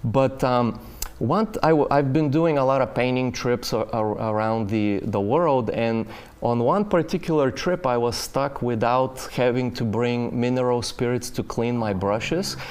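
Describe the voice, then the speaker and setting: A man's voice: mid-range voice, measured pace, a little mellow, smooth. A middle-aged man from Bulgaria, a painter, telling his story in a casual interview, with many fillers and restarts. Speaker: painter, studio guest.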